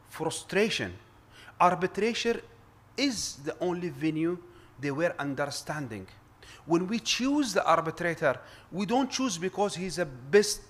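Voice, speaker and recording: A middle-aged man with an Arabic accent addresses a conference panel as an arbitrator, his voice sitting a little high for a man. He speaks earnestly and with emphasis, often breaking off to restart a word or repeat himself before pressing on. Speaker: arbitrator and lawyer.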